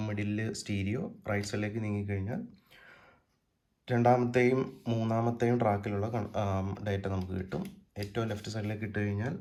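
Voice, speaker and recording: Kerala accent guy, kinda low voice, casual gear walkthrough, stops and starts mid sentence. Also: a little mellow. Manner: unscripted, hesitant with frequent pauses mid-sentence. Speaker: presenter of a vintage audio gear channel. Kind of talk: informal product walkthrough, explaining part by part.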